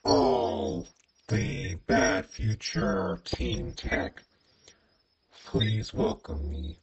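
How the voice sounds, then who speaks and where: A man voicing a menacing, cocky corrupted cartoon monster, taunting in a raspy, gravelly, breathy voice. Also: lowish voice, fairly even intonation, full character voice. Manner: slow, drawn-out threats with villainous taunting. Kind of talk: character voice lines. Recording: dull lo-fi home recording.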